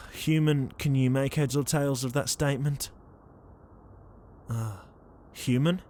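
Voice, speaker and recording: Casting: man as sardonic, put-upon young ghost protector, slow delivery, audio roleplay. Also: mid-range voice, darkish tone, a little hiss or hum, close to an everyday voice. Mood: exasperated, dry. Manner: weary, sarcastic, sighing. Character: exasperated young ghost leader, reluctant protector, named Liam.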